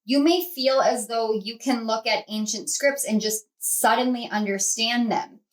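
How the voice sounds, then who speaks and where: Rambling woman giving a tarot reading. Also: fairly high pitch. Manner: rambling, conversational, many fillers and restarts; earnest, speaks to viewers as a group. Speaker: tarot reader and channel host. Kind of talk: pick-a-card tarot reading to camera.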